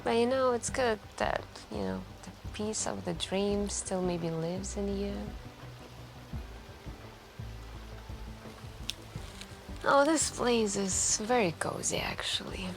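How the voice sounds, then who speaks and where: A woman playing a wistful, soft-spoken stranger in a late-night café, confiding hesitantly in an intimate ASMR roleplay. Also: mid-range voice, lively intonation. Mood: gently curious. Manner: hesitant, musing, confiding, with gentle pauses. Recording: continuous quiet background music. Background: quiet background music.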